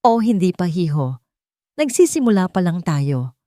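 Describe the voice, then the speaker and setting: A woman's voice: fairly low pitch, sing-song, mellow, no room sound, clean studio sound. Woman voicing a scheming, mocking rich aunt. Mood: menacing. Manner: mocking, taunting, slow theatrical delivery. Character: scheming, menacing rich aunt blackmailing her nephew. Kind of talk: dramatic audio love story.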